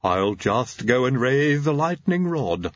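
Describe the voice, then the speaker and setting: A man voicing a gruff, blunt, loyal servant from a far country who grumbles in a growl, in an audiobook. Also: mid-range voice, big pitch swings, takes it slowly, muffled recording, pitched above the performer's own voice. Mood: dutiful.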